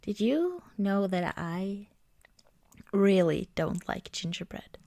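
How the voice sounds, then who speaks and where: Female ASMR performer, intimate close-mic roleplay, soft half-whispered delivery, leisurely pace, no room sound. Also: medium pitch, big pitch swings, no room sound. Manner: gentle, unhurried, often dropping into whispers. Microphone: close microphone, dry sound.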